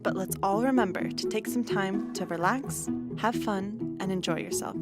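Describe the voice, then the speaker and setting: A woman's voice: medium pitch, normal speed. A woman reading a scripted promotional voice-over in a polished, upbeat way. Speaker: promo narrator. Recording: voice-over over loud music.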